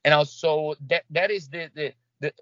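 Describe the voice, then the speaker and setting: A man from Brazil with a Brazilian Portuguese accent, a guest in an interview, talking in halting bursts with many restarts and fillers. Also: a little high, steady tone, a bit bright, dry recording, lo-fi sound. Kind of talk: conversational interview.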